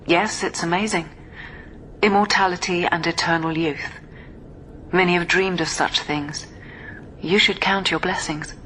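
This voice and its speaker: Grave, guarded keeper, low-pitched. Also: female voice, steady tone, measured pace, pauses often, a bit bright, a little hiss or hum, poor audio. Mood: dry, cool. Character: stern, guarded woman watching over a quarantined prisoner. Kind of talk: science fiction radio drama acting. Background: music.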